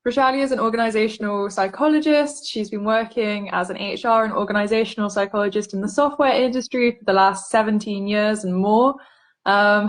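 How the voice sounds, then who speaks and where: Woman interviewer with frequent ums. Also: mid-range voice. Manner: friendly, uses filler um often. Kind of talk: podcast interview hosting.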